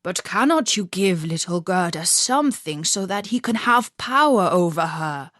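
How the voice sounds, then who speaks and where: A woman's voice: fairly low pitch, animated, normal speed, fairly bright tone, dry recording, clean studio sound. A woman voicing a loyal male reindeer in a fairy tale, speaking in an earnest, pleading manner.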